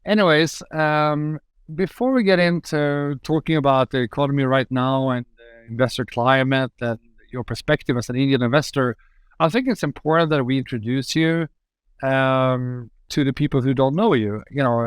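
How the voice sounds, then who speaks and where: A man's voice: medium pitch, normal speed, clean tone, no room sound. A man hosting a podcast, enthusiastic and rambling, with lots of fillers. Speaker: podcast host. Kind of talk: casual podcast interview.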